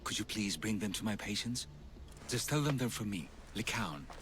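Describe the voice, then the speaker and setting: A man performs an earnest village healer for a game cutscene. His voice sits fairly low and moves with feeling, carrying kindness and private worry. There is faint ambient noise behind him. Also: lively intonation, normal speed, natural voice. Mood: calm, friendly. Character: kind, earnest male village physician burdened by family worry. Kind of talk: video game cutscene acting.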